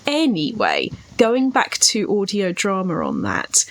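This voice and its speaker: A woman's voice: mid-range voice, wildly swooping pitch, a little slow. A British woman reflecting on writing as a podcast co-host, speaking articulately and at length in a thoughtful way.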